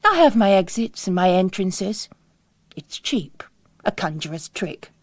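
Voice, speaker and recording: Woman acting male priest stranger, slow, formal, philosophical, quietly menacing, expressive pitch, audiobook drama. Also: no room sound, studio quality. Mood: cool, courteous. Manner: measured, formal, sermonizing.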